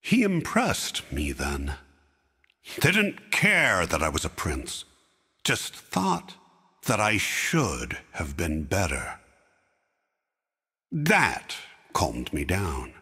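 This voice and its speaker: A man playing an aging, grief-bent king who slowly recalls a lost friend in a fan audio drama. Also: low-pitched, huge pitch swings, pushed and bright, breathy edge, in a large hall, full character voice. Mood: grieving. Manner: slow, heavy reminiscing. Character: grieving aging king, stern yet sorrowful.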